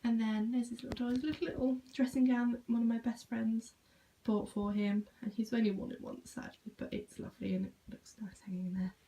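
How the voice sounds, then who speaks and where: A woman's voice: fairly high pitch, level tone, fairly quick, few pauses, warm tone, slight background noise, rough recording. A young British woman giving a casual, self-deprecating home tour in an unpolished, informal way. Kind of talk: casual informal chat to camera.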